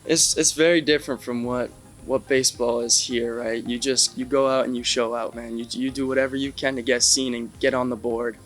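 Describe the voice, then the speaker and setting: A young man with a level, mid-pitched voice answers questions in a relaxed, conversational way. His delivery stays flat and even, and he keeps checking in with a casual "right?". Faint music plays softly behind him. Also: a little fast. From Canada, Ontario. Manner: easygoing, tags many lines with "right?". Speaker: interview guest, baseball catcher.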